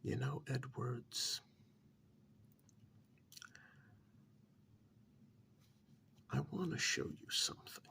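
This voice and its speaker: A man acting in a soft-spoken ASMR role-play as a sardonic, pampered captive in a dystopia, taunting and condescending in a breathy near-whisper with deliberate pauses. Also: mid-range voice, a little hiss or hum. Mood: cool, quietly threatening.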